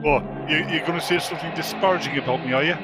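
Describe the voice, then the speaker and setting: A man's voice: on the high side, racing, over loud music. A man on a podcast panel, teasing and inquisitive in casual chat.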